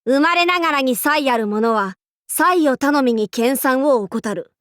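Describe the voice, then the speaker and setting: Proud anime game warlord, high voice, pompous archaic lordly speech, playful swagger, dry close sound. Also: female voice, animated, measured pace, fairly bright tone, dry recording. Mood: lecturing. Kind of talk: anime game character voice lines.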